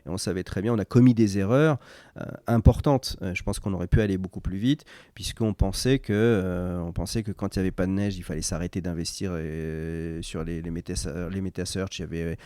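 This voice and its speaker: A man from Morocco explains his company to an interviewer, stopping and restarting often and filling pauses with hesitations. His voice sits low and sounds dark and mellow. The recording is dry and close, with no room echo. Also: steady tone. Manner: hesitant, many fillers and restarts. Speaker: company founder, guest. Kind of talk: conversational interview answers.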